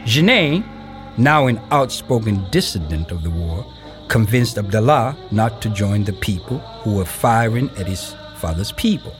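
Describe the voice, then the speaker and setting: A man acting as the narrator of an audio play, giving measured, factual, documentary-style narration. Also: fairly low pitch, sing-song, soft background music. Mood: sober, factual. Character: sober male documentary-style narrator of a biography.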